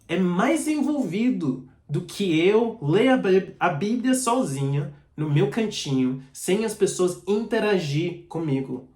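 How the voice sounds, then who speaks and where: A man's voice: high-pitched, expressive, takes it slowly, few pauses, darkish tone. A man preaching a sermon in Portuguese with a foreign accent. He teaches in an earnest, direct way and reads out scripture verses. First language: not Portuguese. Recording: echoey room.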